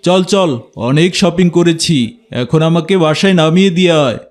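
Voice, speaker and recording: A man playing an arrogant rich cartoon passenger, high-pitched. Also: fairly even intonation, normal speed, darkish tone, lightly acted. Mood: bossy. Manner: curt, bossy, condescending. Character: arrogant, stingy well-off rickshaw passenger, adult man. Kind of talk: cartoon voice acting.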